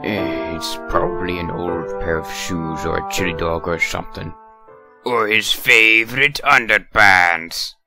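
A man voices a sour cartoon villain in middle age, with a lowish pitch and slow delivery. He is grumpy and sarcastic, with music playing behind him. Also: steady tone. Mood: weary. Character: Ivo Robotnik, grumpy, sarcastic middle-aged male villain. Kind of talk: comic dub voice acting.

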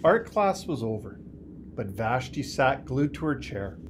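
A man reads a children's picture book aloud with deliberate, expressive storytelling in a small office. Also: medium pitch, noisy background. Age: 50s. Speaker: school superintendent reading aloud.

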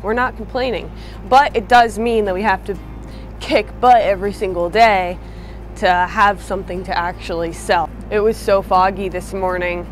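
A woman's voice: mid-range voice, fairly even intonation, normal speed, a little hiss or hum. A young woman talking casually and upbeat to her viewers at an outdoor sawmill, recorded on a camera mic a few feet away. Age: young adult. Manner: chatty, upbeat, addresses viewers directly. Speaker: sawmill vlogger. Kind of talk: casual vlog talk to camera.

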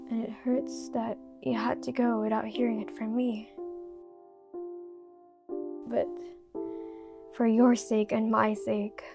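An actress playing a grieving, regretful young woman in a hushed, halting inner monologue for an animated short. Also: on the high side, creaky. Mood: tender, regretful. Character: grieving, regretful young woman mourning her lost beloved. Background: music.

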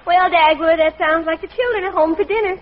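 An actress playing a sensible, gentle housewife and mother in a 1940s radio comedy, reassuring and practical. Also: very high-pitched, a little fast. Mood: calm, reassuring. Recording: muffled old radio recording.

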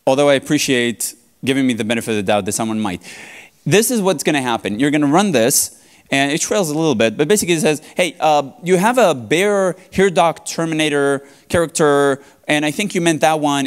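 Native hebrew speaker guy in his 30s giving a jokey tech talk, slightly high voice that bounces around a lot, big hall. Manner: playful, joking, animated with hand gestures. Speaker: conference speaker. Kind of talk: technical conference talk. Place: large conference hall.

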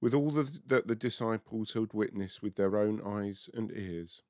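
A man's voice: lowish voice, unhurried, darkish tone, dry recording, studio quality. A man, a minister, giving a scripted reflection on scripture. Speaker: Baptist minister.

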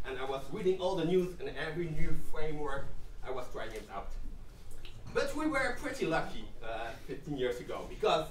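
A man's voice: a little high, recorded from a distance, slight background noise. A man giving a humorous, self-mocking keynote to a live audience in an event room, talking into a handheld mic. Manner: joking, self-mocking, playful asides. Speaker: keynote speaker. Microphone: handheld mic, filmed from the back of the room. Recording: echoey room recorded from afar.

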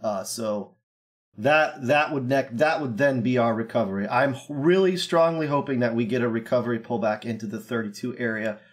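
A man's voice: medium pitch, moderate pace. A young man with a slightly scratchy voice from a cold, talking through a casual market analysis in a brash, joking way with lots of ums and uhs, recorded on a webcam in a small room. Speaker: crypto trading analyst.

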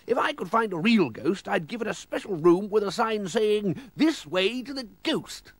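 A man plays an eccentric old lord of the manor from a children's storybook. The voice is pitched high and swoops about, plummy and theatrical. Every line comes out as an excitable exclamation, recorded close and dry. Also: moderate pace, husky, no echo. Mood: regretful, confiding. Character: eccentric, excitable aristocratic manor owner, an older gentleman.

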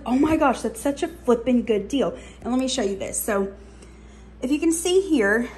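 A woman's voice: fairly high pitch, slight background noise. A young adult woman, chatting casually through a grocery haul in her home kitchen, with lots of fillers. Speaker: cooking channel host.

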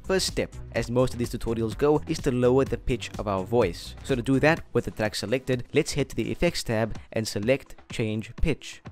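A man's voice: medium pitch, normal speed, hardly any pauses. Young man hosting a casual tutorial, music behind. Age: young adult. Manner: jokey, chummy address to viewers. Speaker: tutorial host. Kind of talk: scripted, casual video tutorial. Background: background music.

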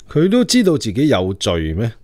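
A man playing a stern, suspicious emperor in a radio drama, speaking in a measured, imperious manner. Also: mid-range voice, darkish tone, no echo, studio quality. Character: Qing emperor, suspicious, stern, commanding ruler. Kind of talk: Cantonese radio drama acting.

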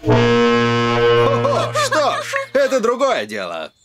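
A blustering fairy king from a children's cartoon. His delivery is pompous and exclamatory, leaping widely in pitch at a measured, slow pace. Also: wildly swooping pitch, music in the background, heavily acted. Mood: commanding. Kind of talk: Russian-dubbed children's cartoon acting.

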